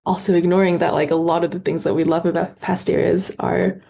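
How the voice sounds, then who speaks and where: Female author guest, husky and gravelly, thoughtful conversational interview delivery. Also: fairly low pitch, little pitch movement, fairly quick, warm tone.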